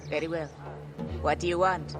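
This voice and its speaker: An actor playing a guarded, foreboding underworld informant in a video game, talking in clipped, ominous phrases. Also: male voice, on the high side, flat delivery, moderate pace, natural voice. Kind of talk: dramatic video game acting. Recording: loud background music.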